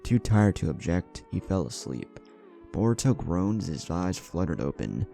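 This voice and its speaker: A man's voice: low voice, sing-song, normal speed, gravelly, mellow. A man reading an adventure story as a dramatic scripted narration. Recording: background music under the voice. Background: music.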